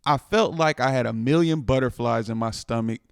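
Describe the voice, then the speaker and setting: A man reading a children's picture book aloud in an expressive way, stretching words for dramatic emphasis. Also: mid-range voice, normal speed, gravelly, smooth, dry recording, studio quality. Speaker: storytime reader.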